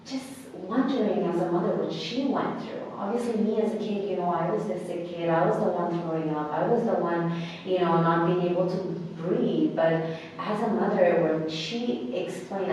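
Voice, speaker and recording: A woman's voice: on the low side, darkish tone. Earnest woman in an echoey hall. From Bangladesh. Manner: earnest, halting, frequent restarts and fillers. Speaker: interview guest. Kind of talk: personal storytelling in conversation. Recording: echoey hall, mic at a distance.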